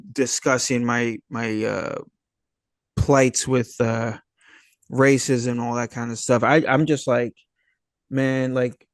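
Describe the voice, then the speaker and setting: An American man in his thirties from Long Island, chatting casually as an interview guest in a halting, searching, slangy way. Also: mid-range voice, no echo, clean studio sound. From Long Island, New York, USA. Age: 30s. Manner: halting and searching, laid-back slangy, often saying "man" and "you know". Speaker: guest, actor.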